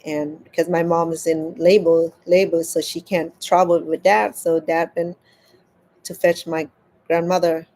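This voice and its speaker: Woman telling a story haltingly. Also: lowish voice, level tone, darkish tone, poor audio. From Cambodia. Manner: halting, repeats words as she searches for them. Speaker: crochet vlogger. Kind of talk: casual storytelling while crafting.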